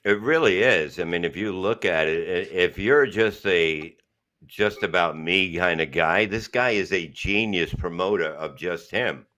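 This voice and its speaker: A man's voice: low voice, a little slow, sharp tone. A man hosting a comedy podcast, trading crude, joking banter in casual chat. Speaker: podcast host.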